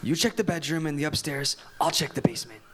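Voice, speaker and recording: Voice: mid-range voice, fast talker, fairly bright tone, slight background noise, natural voice. A performer reading a bossy, easily panicked teenage boy at a live table read. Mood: hushed bossy. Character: frantic teenage boy ringleader.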